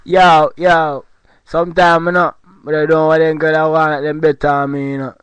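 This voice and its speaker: Smooth-voiced man faking Jamaican patois. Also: fairly high pitch, level tone, normal speed, a bit bright, no echo, dull audio. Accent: put-on Jamaican patois. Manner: boastful Rasta persona, insists he is Jamaican. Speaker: comedy podcast co-host. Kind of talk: comic storytelling.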